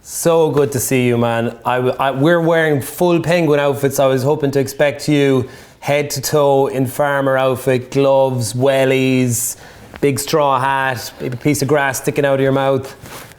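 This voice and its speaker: A man's voice: medium pitch, little pitch movement, measured pace. Playful male award presenter. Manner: playful teasing. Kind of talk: announcing an award.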